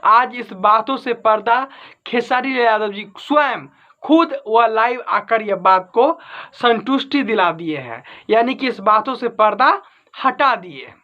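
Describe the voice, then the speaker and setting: A man's voice: high-pitched, dull audio. A man giving informal entertainment news commentary as an online host, repeating and rephrasing his points for emphasis. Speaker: YouTube host.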